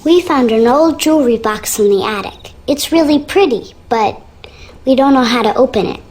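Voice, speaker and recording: Frightened young girl for a mystery game, high voice, childlike, shy and earnest. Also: lively intonation, moderate pace, darkish tone. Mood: timid, confiding. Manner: childlike, timid, confiding. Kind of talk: video game character dialogue.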